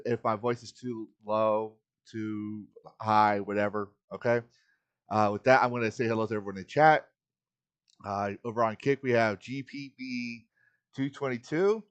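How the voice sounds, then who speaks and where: A man's voice: mid-range voice, muffled recording. A man hosting a live game stream, talking earnestly and casually while greeting his chat. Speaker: livestream host.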